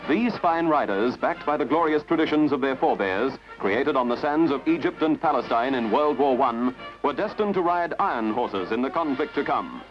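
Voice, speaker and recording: A man narrates a scripted newsreel in a dramatic, measured, slightly wry way. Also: medium pitch, animated. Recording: dull lo-fi archival film soundtrack with music. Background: prominent music.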